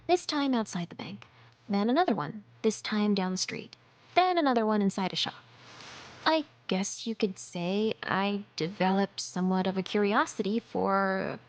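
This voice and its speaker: A woman's voice: medium pitch, lively intonation, normal speed, lightly acted. An actress voicing a young hacker revolutionary in a video game cutscene, confiding and dryly sardonic, with swearing in her speech. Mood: wry, reflective.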